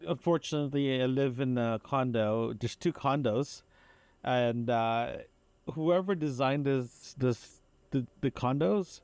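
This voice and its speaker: A man talks through a casual audio diary in a dry, close recording. His delivery is halting and meandering, circling back over phrases in an unpolished, conversational way. The pitch is average for a man. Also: darkish tone, dry recording. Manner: halting, repetitive, self-interrupting. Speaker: podcast host.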